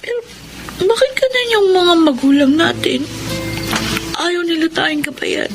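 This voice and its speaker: A wistful younger sister in her teens frets in a high, plaintive voice. It is radio drama acting with music underneath. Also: lively intonation, measured pace, vocal fry, heavily acted, rough recording. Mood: troubled, wistful. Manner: plaintive, questioning.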